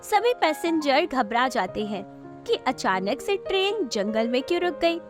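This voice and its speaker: A woman's voice: sits high, sing-song, a little slow, music in the background. A woman reading scripted narration for a moral story as its narrator.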